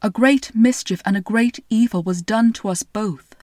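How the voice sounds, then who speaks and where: A woman's voice: medium pitch, moderate pace, a little mellow, no room sound, clean studio sound, natural voice. A woman voicing a weary grown king searching for his wife and child, speaking in a raised, pleading, emotional manner.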